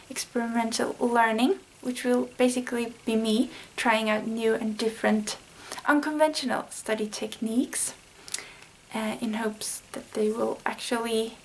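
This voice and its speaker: Swedish woman, native speaker of Swedish, earnest lesson vlogger; light-pitched, steady, little melody. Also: slight background noise, low-quality recording. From Sweden. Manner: earnest, thinking aloud with small fillers. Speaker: language learning vlogger. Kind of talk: casual explanatory vlog lesson.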